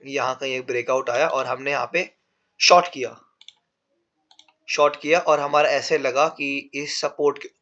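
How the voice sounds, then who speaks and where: A man's voice: on the high side, a bit bright. Man with a sore throat teaching. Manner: explains step by step, calls viewers "bhai". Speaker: trading teacher. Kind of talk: casual chart-drawing lesson. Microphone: no proper mic yet. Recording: dull lo-fi recording without a proper mic.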